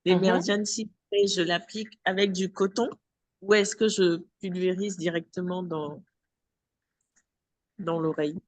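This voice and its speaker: A woman's voice: lowish voice, steady tone, a little slow, pauses often, no room sound. A woman with a head cold asking a polite, brief question during a training call.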